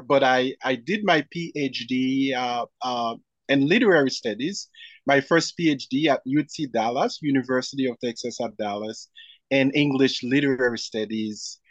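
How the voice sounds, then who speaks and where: A man, a scholar answering interview questions in a measured way and spelling out terms letter by letter. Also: mid-range voice, no echo, lo-fi sound. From Haiti. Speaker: guest scholar. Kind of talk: academic interview.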